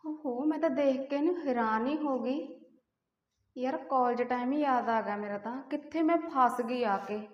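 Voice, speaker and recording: Woman acting high-voiced wistful young wife. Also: moderate pace, lightly acted. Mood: flustered, regretful. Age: young adult. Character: wistful young married woman meeting her old college admirer. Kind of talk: amateur short-film dialogue. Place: small hard-walled room indoors, camera at some distance. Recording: echoey room, dull lo-fi audio.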